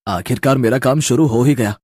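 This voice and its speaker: A man's voice: medium pitch, fairly quick, darkish tone, husky, dry recording, studio quality, natural voice. A man voicing a poor, hardworking young street vendor in a cartoon story, speaking in an earnest, plaintive and emphatic way. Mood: hopeful, determined. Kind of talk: Hindi cartoon story dubbing.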